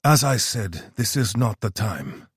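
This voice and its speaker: A man reading an audiobook voices a formal male team leader who speaks firmly and briskly. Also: on the low side, sing-song, breathy edge, no echo, studio quality, full character voice.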